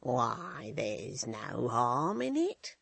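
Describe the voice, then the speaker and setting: A reader voicing a sly, prying old woman in an audiobook, slow and coaxing with a breathy edge. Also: medium pitch, wildly swooping pitch, a bit bright, exaggerated voice. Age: older. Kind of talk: audiobook character voice.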